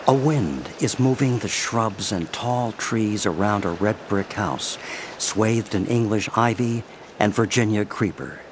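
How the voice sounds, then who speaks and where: A man with a husky voice presenting and narrating a scripted audio drama in a deliberate, theatrical storytelling manner. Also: on the low side, noisy background.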